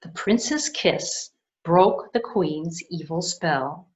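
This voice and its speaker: A woman librarian narrating a fairy tale for children in an expressive storytelling manner. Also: on the low side, drawn-out words. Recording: dull lo-fi recording.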